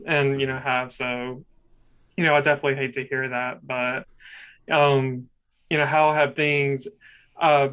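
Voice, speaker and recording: A young man hosting a casual podcast interview. He talks in a monotone and rambles, with many fillers. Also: mid-range voice, unhurried, pauses often, smooth. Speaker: podcast host.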